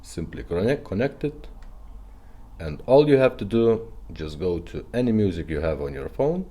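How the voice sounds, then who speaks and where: A man's voice: deep voice, big pitch swings, moderate pace, mellow. A man giving a casual, step-by-step product demonstration in an instructional way. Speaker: presenter demonstrating retrofitted car radios.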